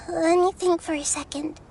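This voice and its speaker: A woman voicing a wise, kind young girl god in video game dialogue, gentle and reflective. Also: extremely high pitch, steady tone, slow, a little hiss or hum, heavily acted. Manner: gentle, reflective, soft-spoken.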